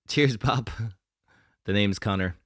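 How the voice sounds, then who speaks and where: A man who is a musician on a live stream, chatting casually between songs in a soft, deliberately low and relaxed voice. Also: no echo, clean studio sound. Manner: talks softly, keeping his voice low and deep on purpose; relaxed and chatty, thanking viewers. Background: faint guitar strumming and tuning.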